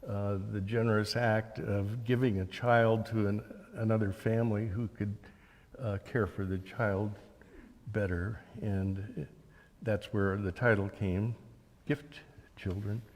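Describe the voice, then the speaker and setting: An American man in his seventies talking as an interview guest, recounting his work and memories in a measured, halting way. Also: lowish voice, fairly even intonation, a little mellow. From Oregon, United States. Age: 70s. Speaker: interview guest, author and journalist. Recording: long hall reverb.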